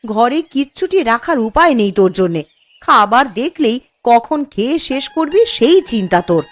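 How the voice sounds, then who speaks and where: A woman's voice: a little high, big pitch swings, moderate pace, no echo. A woman playing a scolding, principled village mother in a cartoon story, with a nagging, homely manner. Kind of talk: Bengali cartoon story acting. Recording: music behind the voice. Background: background music.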